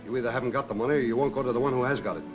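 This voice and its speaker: An actor playing a suave, cynical blackmailer in a 1940s film noir, smooth-talking and mocking, with an American accent. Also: male voice, medium pitch, very fast, lo-fi sound, over music, close to an everyday voice. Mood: hard, threatening. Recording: 1940s film soundtrack with score behind.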